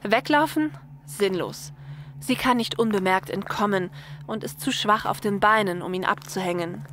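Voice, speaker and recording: A woman's voice: medium pitch, normal speed, a bit bright, slight background noise. A woman narrator reading a scripted story. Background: faint music.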